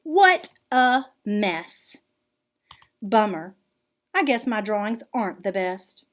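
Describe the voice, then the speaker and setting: A woman's voice: medium pitch, very animated, takes it slowly. A woman voicing an easygoing young cat in a picture book, with simple, laid-back phrasing. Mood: discouraged. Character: easygoing groovy young cartoon cat, sincere and artistic.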